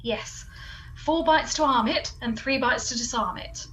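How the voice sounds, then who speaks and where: A woman plays a loyal, bossy gadget expert in a comedy radio play. She speaks in a fairly high voice with brisk, emphatic, deadpan delivery over faint noise. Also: rough recording.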